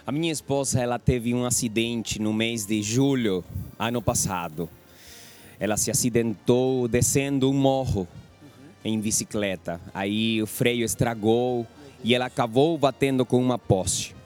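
A Venezuelan man with a Spanish accent gives an earnest church testimony, calm and measured, sometimes halting. His voice sits at an ordinary middle pitch, with faint music behind him. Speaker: church member giving testimony.

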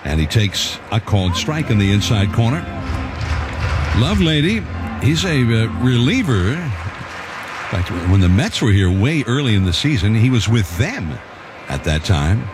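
A man calling a baseball game play by play in a relaxed, conversational way, correcting himself as he goes. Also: lowish voice, a little slow, over music. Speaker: play-by-play announcer. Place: broadcast booth at a ballpark. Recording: ballpark crowd and noise behind.

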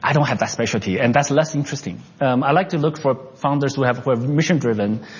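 A man from China who was raised in Canada, speaking as an investor and entrepreneur in an unscripted interview, with halting speech full of restarts and fillers. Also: mid-range voice, slightly rough, in a large hall, dull audio.

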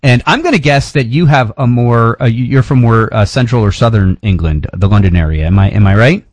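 A man's voice: mid-range voice, brisk, darkish tone, no room sound. An American man hosting a radio talk show, chatting casually with a guest in a rambling way with many fillers and restarts. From United States. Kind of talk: casual radio interview chat.

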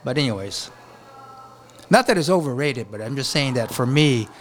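A man from Japan, a chef and restaurant owner, talks in a rambling, halting way with many restarts during an interview at a beach hotel. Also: medium pitch, lively intonation, slight background noise. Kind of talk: casual interview talk.

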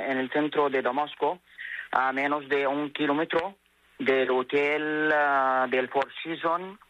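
Halting male correspondent on a dull line. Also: fairly high pitch, bright, forceful tone, dry recording. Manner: halting delivery with very frequent hesitation. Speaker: foreign news correspondent. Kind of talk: live news report. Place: remote link into a radio studio.

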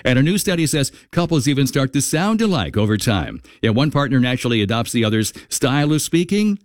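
A man hosting morning radio with a deep voice, reading the weather and making dry jokes. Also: sing-song, fairly quick, no echo. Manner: dry, joking. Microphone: studio microphone.

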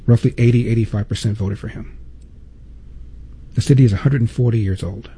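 A man's voice: mid-range voice, brisk, dark tone, breathy edge. A man hosting a talk show, delivering an emphatic opinion monologue full of repeated points and rhetorical questions.

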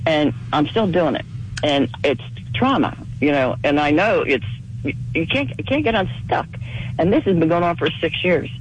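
Fast-talking older woman, husky with a cold. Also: low voice, animated, a little hiss or hum, low-quality recording. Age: 70s. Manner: rapid, rambling storytelling. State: losing her voice from a cold. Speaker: caller. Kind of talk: call-in radio chat.

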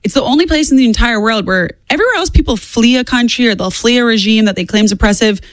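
A woman's voice: on the high side, expressive, fast talker, dry recording. A woman in her twenties giving opinionated political commentary as a guest, speaking emphatically and repeating points for stress. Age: 20s.

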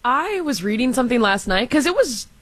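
A woman's voice: fairly high pitch, sing-song, fairly quick, no room sound. A woman co-hosting a radio show, talking in an earnest, chatty way and hedging her points. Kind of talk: casual talk radio chat.

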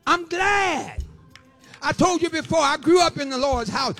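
A man's voice: very high voice, measured pace, sharp tone, soft background music. A man in his seventies preaching an impassioned sermon with emphatic, rhythmic repetition. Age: 70s.

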